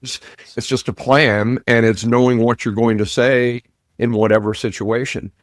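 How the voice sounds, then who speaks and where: A man hosting a casual interview, speaking in a measured way with dry, wry humor. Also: mid-range voice, little pitch movement, no room sound. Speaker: host.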